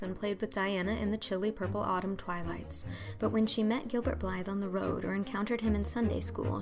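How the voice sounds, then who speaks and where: A woman reading as the narrator of an audiobook, in scripted storytelling style. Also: medium pitch. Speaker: narrator and producer. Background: music.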